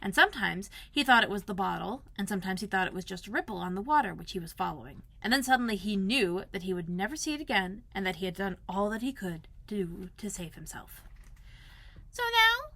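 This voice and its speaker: Bright, animated woman reading a storybook. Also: mid-range voice, quick pace, gravelly, hardly any pauses. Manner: dramatic repetition and emphasis. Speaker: children's librarian reading aloud. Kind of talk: expressive storybook narration for children.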